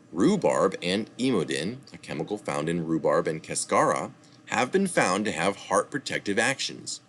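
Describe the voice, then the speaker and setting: A man's voice: sits low, a little hiss or hum. A man reading a health article aloud, now and then correcting himself and adding short asides. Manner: reads steadily, with small slips and asides.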